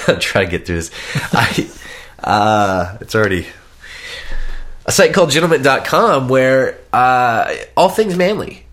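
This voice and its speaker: A man's voice: medium pitch, very animated, a little slow, a bit bright. Stuffed-up, nasal male podcast host. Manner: rambling, joking, stumbling restarts. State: stuffed up from a cold. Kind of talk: casual chat.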